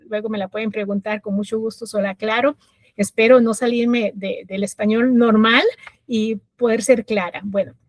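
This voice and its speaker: A middle-aged woman from Costa Rica with a Costa Rican accent, a guest telling her life story in a reflective way with many pauses and fillers. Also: on the high side, darkish tone, no room sound. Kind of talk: personal story interview.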